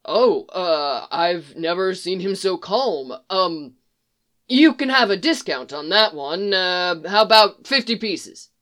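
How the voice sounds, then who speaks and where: A woman's voice: fairly low pitch, animated, slow, a bit bright. A woman voicing a bearded, folksy horse seller pushing his stock. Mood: salesy. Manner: salesy, folksy, hesitant. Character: bearded middle-aged male stable seller, folksy.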